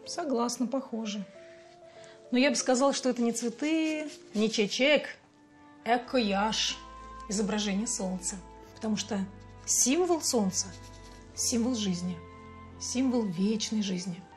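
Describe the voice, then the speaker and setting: An actress playing a patient, playful mother in her forties with a Russian accent, gently explaining things to her child in a TV sitcom. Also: on the high side, unhurried, long pauses, soft background music, lightly acted. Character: bilingual mother, patient, fond of teaching. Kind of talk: television sitcom acting.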